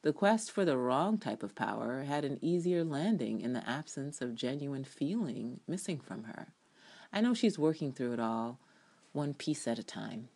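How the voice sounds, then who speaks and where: A woman's voice: rumbling low, lively intonation, moderate pace, warm tone, breathy edge. A woman giving a dramatic, emphatic and opinionated reading of her own written review, with ad-libs.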